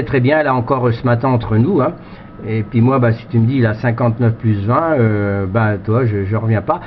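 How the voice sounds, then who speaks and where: A man chatting casually as an amateur radio operator, with a rambling, repetitive way of talking. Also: mid-range voice. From France. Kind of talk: casual radio contact chat. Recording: muffled, noisy two-way radio sound.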